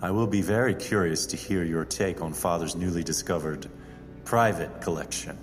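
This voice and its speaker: A man acts out a haughty heir to a fortune in an audio drama. His voice is low and imperious, with pompous, carefully formal phrasing. It rings in a reverberant space over music. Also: close to an everyday voice. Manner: pompous, formal, deliberate diction. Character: haughty wealthy male heir, secretive and imperious.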